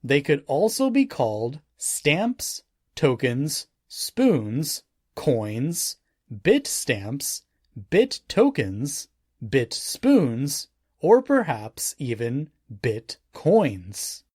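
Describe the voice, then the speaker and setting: A man narrating a nonfiction audiobook in a measured, deliberate way, with emphatic phrasing. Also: medium pitch, huge pitch swings, darkish tone, husky, dry recording, clean studio sound.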